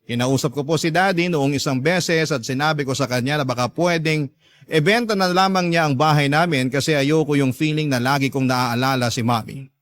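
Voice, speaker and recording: Male Filipino radio narrator, slightly high, very dry sound, earnest delivery of a scripted personal letter. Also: fairly bright tone, no room sound. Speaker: radio host reading a listener's letter. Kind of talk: dramatic scripted storytelling.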